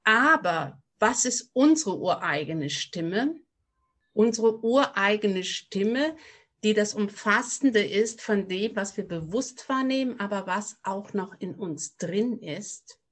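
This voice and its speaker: A middle-aged woman with a slight Rhineland accent talks as a guest on a podcast. She is a voice coach, and she explains her craft in a reflective, earnest way. Her voice sits at an ordinary middle pitch. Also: lively intonation, slow pace, fairly bright tone. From near Cologne, Germany. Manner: reflective, earnest, speaks of voice work with conviction. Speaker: voice coach and opera singer, guest. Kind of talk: podcast interview.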